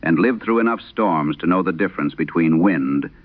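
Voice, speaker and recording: A man's voice: low-pitched, moderate pace, close to an everyday voice. A man voicing a sober farm mother who earnestly and precisely recounts a frightening night in a radio horror monologue. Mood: earnest, insistent. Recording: muffled old radio broadcast sound.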